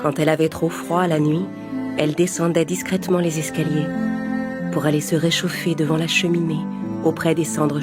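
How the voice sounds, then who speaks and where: Female storyteller for children's bedtime tales: lowish voice, scripted narration, clear music bed behind.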